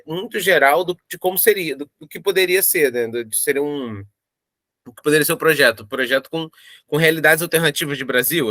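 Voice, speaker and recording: Bright-voiced Rio man, higher pitched. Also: no echo, clean studio sound. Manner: rambling, repeats himself as he explains. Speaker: project creator and writer. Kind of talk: casual interview answer.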